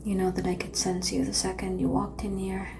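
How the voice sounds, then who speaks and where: A woman playing a seductive, world-weary vampire in an ASMR roleplay, calm and teasing, with a breathy whisper and languid, formal phrasing. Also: medium pitch, a little fast, background noise, lightly acted. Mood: teasing, resigned.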